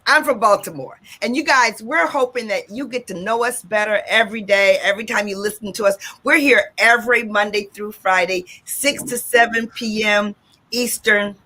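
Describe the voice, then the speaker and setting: A woman's voice: medium pitch, moderate pace, bright, forceful tone. A woman from Detroit hosting an upbeat talk radio show, exuberant and sing-song, repeating phrases for emphasis. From Detroit, USA.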